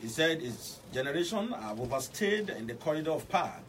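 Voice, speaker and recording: A man's voice: fairly high pitch, sing-song, brisk, fairly bright tone. A man with a Nigerian Yoruba accent hosting a live radio current affairs programme as its presenter. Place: radio studio. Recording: noisy, distant-miked radio studio capture.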